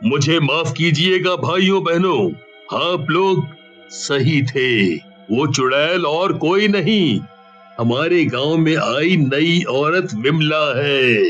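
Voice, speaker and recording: Man acting as a village headman, grave, measured and slow, cautious, horror cartoon, music behind. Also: on the high side, expressive, fairly bright tone. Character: calm, authoritative male village chief, grave and cautious. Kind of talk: animated horror story dialogue.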